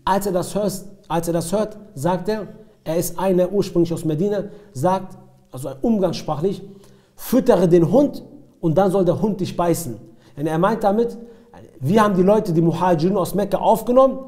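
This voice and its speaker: A man with a fairly high, very mellow voice gives an Islamic sermon. He speaks emphatically, repeats key phrases and puts rhetorical questions to his listeners. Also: moderate pace. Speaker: Islamic preacher. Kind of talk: religious lecture with recited Arabic verses and retold stories.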